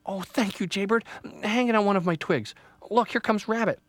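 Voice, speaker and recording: Guy voicing a little girl tree in a kids story, high pitched, earnest, wide-eyed, kinda insecure. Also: moderate pace, dry recording, natural voice. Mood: grateful. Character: small earnest young female evergreen tree, hopeful, insecure.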